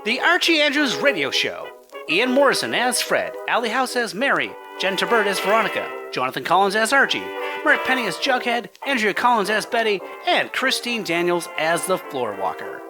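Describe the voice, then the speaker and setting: A man's voice: sits high, huge pitch swings, brisk, poor audio. A man reading scripted credits as the announcer of a radio show. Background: music.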